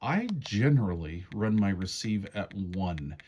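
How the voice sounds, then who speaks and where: A man with a fairly low voice walks through a product's settings step by step. His delivery is casual and folksy, like a hands-on review. There is some faint noise and clicking behind him. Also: animated, unhurried, darkish tone. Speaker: radio reviewer demonstrating menu settings.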